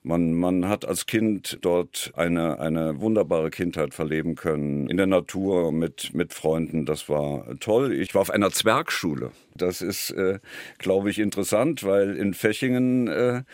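Sixties man, Saarland accent, very low voice, hesitant interview guest, dry recording. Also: measured pace, darkish tone, dry recording. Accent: Saarland German. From Saarland, Germany. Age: 60s. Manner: hesitant, many fillers, chuckling asides. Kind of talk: radio interview.

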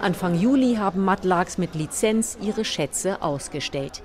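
A woman's voice: on the low side, expressive, a little slow, crowd noise. A woman reading scripted documentary narration as the reporter of a radio feature.

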